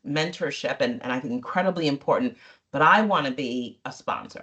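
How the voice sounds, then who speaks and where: Woman speaking candidly on a panel. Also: deep voice. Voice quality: loud, strong voice. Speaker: webinar panelist. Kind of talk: candid panel discussion, personal advice.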